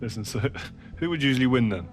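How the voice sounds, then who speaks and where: Casting: male actor for matey football interviewer, relaxed and encouraging, mid-range voice, music underneath. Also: mid-range voice, quick pace, lightly acted. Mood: friendly. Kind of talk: casual interview in video game cutscene.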